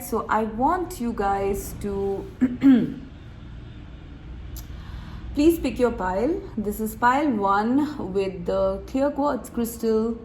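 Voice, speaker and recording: A woman recovering from a cold, reading tarot to her viewers in a chatty, relaxed way, laughing and clearing her throat often. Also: a little high, slight background noise, rough recording. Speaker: tarot reader. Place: room with door open, wind chimes outside.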